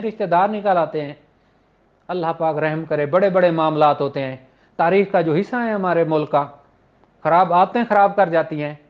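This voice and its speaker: A man's voice: high voice, muffled recording. A man with a Pakistani Punjabi accent giving an informal, explanatory law lecture in a conversational, questioning manner. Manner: conversational, poses rhetorical questions, switches into English legal terms. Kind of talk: informal explanatory lecture with examples, reading out statute slowly.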